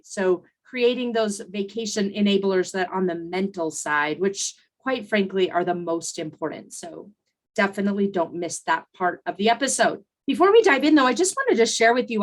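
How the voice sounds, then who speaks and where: A woman hosting a solo coaching podcast, talking conversationally with frequent fillers and restarts, her voice recovering after laryngitis. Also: medium pitch. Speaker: podcast host and consultant coach.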